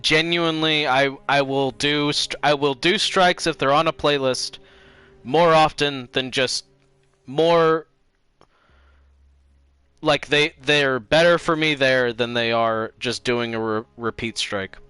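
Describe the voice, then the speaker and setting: A man's voice: medium pitch, steady tone, slow, pushed and bright, clean tone, dry recording, faint music behind. A man hosting a game livestream, switching between casual chat and dramatic reading aloud. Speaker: livestream host playing games and reading aloud.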